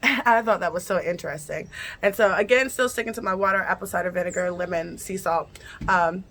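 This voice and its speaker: A woman's voice: on the low side, a bit bright, a little hiss or hum. A woman vlogger casually telling a personal story, chatty and repetitive, speaking straight to her viewers. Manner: chatty, repeats phrases, addresses viewers directly.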